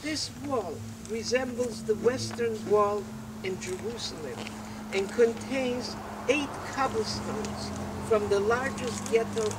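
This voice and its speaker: An older woman giving a solemn, deliberate prepared speech at an outdoor dedication ceremony. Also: fairly high pitch. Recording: distant mic, loud outdoor background noise.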